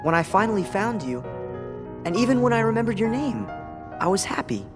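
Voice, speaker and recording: A man's voice: sits high, sing-song, brisk. A young man voicing an earnest, loyal teenage boy hero in a video game, in a heartfelt way. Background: music.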